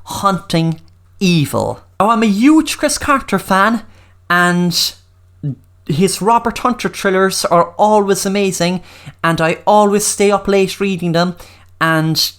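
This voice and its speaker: An Irish woman hosting a solo podcast, talking in an earnest, emphatic way and repeating words for emphasis. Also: on the low side. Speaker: podcast host. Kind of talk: solo podcast talk with book review.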